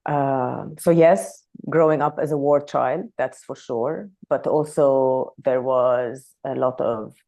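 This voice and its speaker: A woman from Lebanon who grew up speaking Arabic, talking as a podcast guest in a reflective, soft-spoken way. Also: a bass voice, fairly even intonation, slow pace, few pauses. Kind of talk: casual conversational interview.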